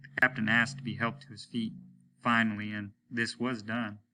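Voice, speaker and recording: Bright-voiced man reading a story aloud. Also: fairly low pitch, moderate pace, a little hiss or hum, rough recording. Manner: expressive, acts out the dialogue. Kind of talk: dramatic audiobook narration.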